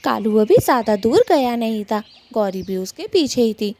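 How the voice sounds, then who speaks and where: Lively, high-voiced woman narrating a story. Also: normal speed. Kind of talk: scripted children's story narration.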